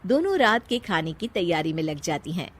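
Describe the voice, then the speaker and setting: A woman's voice: on the low side, sing-song, moderate pace. A woman narrating a scripted story, playfully addressing her listeners.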